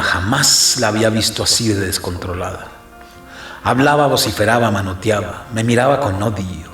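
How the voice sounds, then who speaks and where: A man's voice: medium pitch, natural voice. An actor playing a shaken priest who narrates his confession in a dramatic first-person style. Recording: roomy echo, music underneath. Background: music.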